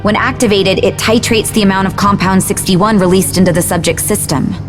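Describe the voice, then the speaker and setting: A woman's voice: mid-range voice, fairly even intonation, brisk, over music, natural voice. An actress playing a clever, earnest woman scientist in a video game, dry and a little impatient. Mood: earnest, explaining.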